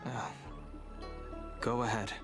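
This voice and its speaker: Guy acting a reserved broody young nobleman in a game, really slow and serious, music behind him. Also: medium pitch, fairly even intonation, natural voice. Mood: reserved, guarded. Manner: measured, restrained, serious. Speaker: voice actor in a video game. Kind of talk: scripted game dialogue.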